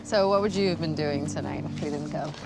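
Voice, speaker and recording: An actress playing a guarded, quietly teasing young working woman in a naturalistic screen drama. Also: sits low, fairly quick, over music, rough recording. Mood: casual, teasing. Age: young adult. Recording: loud film score under dialogue.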